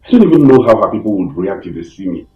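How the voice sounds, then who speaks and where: An actor with a Nigerian accent playing an ashamed, pleading, hard-drinking young prince in a film melodrama. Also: male voice, low-pitched, sing-song, very fast, narrow, tinny audio. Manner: pleading, defensive. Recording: echoey, off-mic, music behind.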